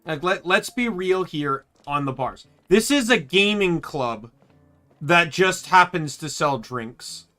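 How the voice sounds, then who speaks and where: A man with a bright, slightly high voice talks loosely while he plays a video game in his room. He rambles and trips over his own sentences, and quiet game music plays underneath. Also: lively intonation, slow pace. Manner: rambling, self-correcting. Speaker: streamer. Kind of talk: casual gameplay chat. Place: home room.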